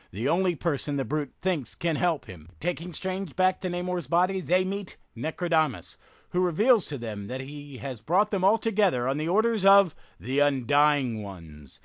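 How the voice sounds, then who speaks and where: A man's voice: fairly high pitch, highs cut off. A man in his fifties hosting a casual podcast, his voice froggy and congested from a head cold, rambling and cutting himself off mid-thought. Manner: rambling, self-interrupting. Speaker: podcast host.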